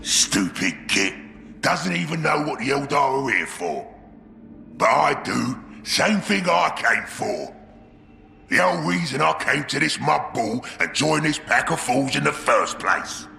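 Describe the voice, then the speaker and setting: A scheming ork warboss for a video game. The gruff voice is full of gravel and swings widely in pitch, slow and slyly sarcastic, with music playing behind it. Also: male voice, big pitch swings, sharp tone, full character voice. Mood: scornful, scheming. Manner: gruff, sly, swinging into bellows. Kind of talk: video game cinematic acting.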